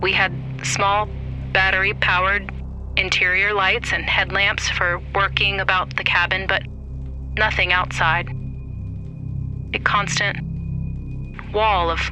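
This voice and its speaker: A man acts out a frightened survivor of a deep-sea crew in a horror audio drama. He speaks slowly and haltingly, in fragments, haunted and full of dread. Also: level tone, over music, low-quality recording. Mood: grim, haunted.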